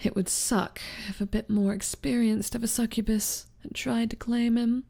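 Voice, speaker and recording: Breathy, creaky, teasing succubus rival, played by a woman. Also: on the high side, flat delivery, normal speed, dark tone. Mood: knowing, teasing. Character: teasing young female succubus classmate, playful rival. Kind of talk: ASMR roleplay acting.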